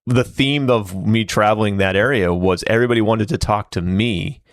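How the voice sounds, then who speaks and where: Lively storytelling man, low voice. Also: normal speed, dry recording, studio quality. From Central Massachusetts. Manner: animated storyteller, quoting people he met. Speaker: podcast regular. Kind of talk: casual chat.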